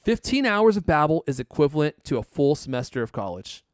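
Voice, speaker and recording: A man's voice: fairly high pitch, sing-song, fairly quick, no room sound, clean studio sound. A man hosting a podcast, chatting casually and reading ads in a jokey, teasing way with theatrical emphasis. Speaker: podcast host. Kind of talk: casual chat and ad reads.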